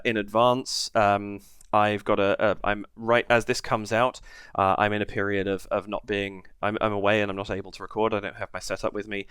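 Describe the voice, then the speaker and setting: A man's voice: fairly low pitch, smooth, no echo. Stuffy-nosed man hosting a reaction video. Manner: chatty, many ums and uhs, warm thanks to viewers. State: stuffed up with a head cold. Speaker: reaction channel host. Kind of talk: casual video commentary.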